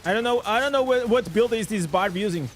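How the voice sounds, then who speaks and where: A man with a high voice for a man streams a game live, narrating his play in English. He bursts into excited reactions over loot and chats casually with his viewers. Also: fairly quick. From Portugal. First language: Portuguese. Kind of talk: live gameplay commentary.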